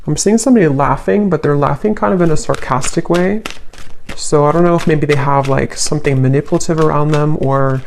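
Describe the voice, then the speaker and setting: A woman giving a casual tarot reading as host, musing aloud in a halting, tentative way. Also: low voice, gravelly, a little mellow, a little hiss or hum. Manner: halting, musing, hedging delivery. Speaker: tarot reader, channel host.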